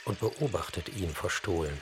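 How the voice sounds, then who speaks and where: A man reading scripted narration for a radio feature, with a slightly husky voice. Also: deep voice, expressive, moderate pace, background noise.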